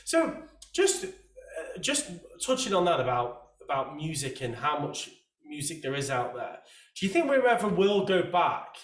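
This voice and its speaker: A soft-voiced man hosting a relaxed chat, rambling along and restarting his sentences. He sits back from the mic, and the room rings with echo around him. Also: on the high side, distant mic. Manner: chatty, rambling, many restarts. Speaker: host. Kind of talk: casual chat.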